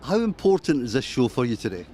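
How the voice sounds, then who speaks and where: A man from Scotland with a Glasgow accent, asking interview questions in a friendly, halting way. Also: medium pitch, big pitch swings, warm tone. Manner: friendly, halting, with many restarts. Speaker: music interviewer. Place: outdoors at a music festival. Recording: faint outdoor festival noise.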